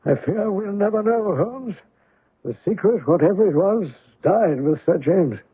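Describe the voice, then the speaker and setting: On a muffled old radio recording, an older gentleman sidekick, fussy and easily astonished. His high, gravelly voice leaps about in pitch, blustering and hesitating in classic radio mystery style. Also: lively intonation, a little slow, heavily acted. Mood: somber. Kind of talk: old-time radio drama acting.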